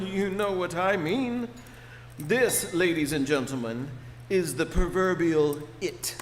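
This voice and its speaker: A man's voice: high-pitched, a bit bright. An actor playing a smarmy master of ceremonies in a live stage radio play, grandiose and unctuous, drawing out his phrases. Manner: grandiose, unctuous, drawn-out showmanship. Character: smarmy male showman compere at the end of the universe. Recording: echoey hall, live stage with music.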